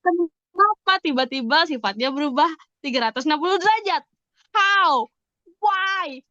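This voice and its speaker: High-voiced Indonesian woman asking questions. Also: lively intonation, sharp tone, dry recording, lo-fi sound. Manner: questioning, halting, repeats words. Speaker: podcast co-host asking questions. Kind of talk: casual chat.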